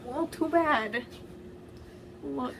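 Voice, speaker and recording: Female co-commentator, high-pitched, casual and joking, picked up away from the mic amid noise. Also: very slow. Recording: distant mic with clear background noise.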